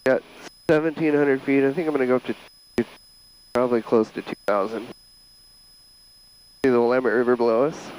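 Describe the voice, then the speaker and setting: Man, medium pitch, casual pilot vlogger, explanatory cockpit chat, headset mic. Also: steady tone, a little fast, long pauses, darkish tone, rough recording. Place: inside a small airplane cockpit in flight.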